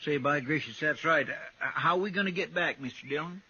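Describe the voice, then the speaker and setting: An actor playing a countrified, loyal, grumbling frontier deputy in a 1950s radio western, plaintive and deferential. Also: male voice, on the high side, a little fast, pushed and bright, breathy edge, heavily acted. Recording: dull, hissy 1950s radio recording.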